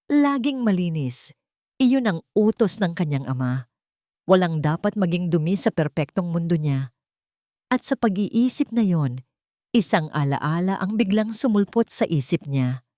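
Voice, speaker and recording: A woman tells a dramatic story in a solemn, emotive manner. Her voice sits a little low, and the recording is very dry, with hardly any room around it. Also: lively intonation, unhurried, warm tone, no echo. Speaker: narrator. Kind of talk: dramatic scripted story narration, audio drama.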